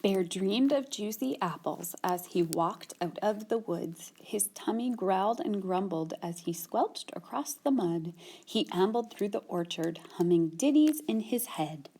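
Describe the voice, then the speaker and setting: A woman's voice: mid-range voice, animated, unhurried, mellow, roomy sound. A woman hosting a library story time, reading a picture book and chatting simply to young children with questions.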